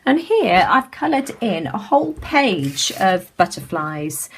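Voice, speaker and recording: Croaky British woman chatting through a craft. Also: medium pitch, very animated, slow pace. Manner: chatty, many ums and restarts. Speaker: craft tutorial host. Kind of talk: casual craft demonstration voice-over. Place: home craft table.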